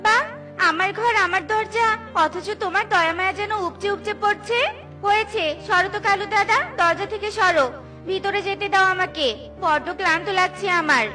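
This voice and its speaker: A woman's voice: very high voice, moderate pace, over music. A woman voicing female bird characters in a children's cartoon, exclaiming and complaining theatrically. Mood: indignant. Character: female cartoon birds, scolding crow wife and small bird neighbours.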